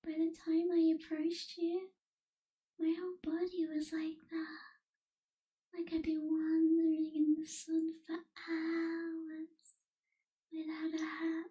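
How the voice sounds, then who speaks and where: Woman as slow, very high, whispering lonely vampire girl. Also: monotone, muffled recording. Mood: melancholy, reflective. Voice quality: soft, breathy, whispery. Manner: slow, hushed, wistful, gentle pauses. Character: lonely, melancholy young female vampire, newly turned. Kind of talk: ASMR roleplay, soft whispered intimate delivery.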